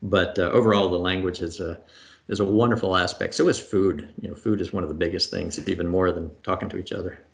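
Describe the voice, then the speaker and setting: A middle-aged man answering questions in a relaxed conversational way, pausing often with fillers and restarting phrases, over a video call from a room at home. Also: deep voice, creaky. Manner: thoughtful, many fillers and restarts. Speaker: astronaut guest. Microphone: video call webcam.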